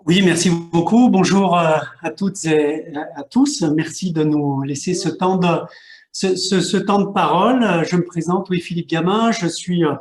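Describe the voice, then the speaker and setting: A man, a local mayor, giving a halting panel presentation over a video call, often repeating words as he searches for them. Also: fairly high pitch. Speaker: mayor and regional park president. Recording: echoey room.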